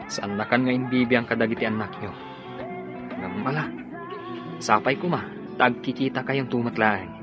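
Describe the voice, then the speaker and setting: An actor playing a calm friend who consoles his buddy over drinks in a radio drama. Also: medium pitch, moderate pace, pauses often, lo-fi sound, music in the background, close to an everyday voice. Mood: consoling. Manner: consoling, easygoing.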